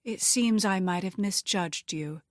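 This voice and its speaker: A woman's voice: medium pitch, measured pace, no echo, studio quality, close to an everyday voice. Woman narrator as a menacing, scheming criminal woman. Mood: sly, flirtatious. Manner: menacing, taunting, condescending. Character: scheming, threatening, vain woman crime boss's underling.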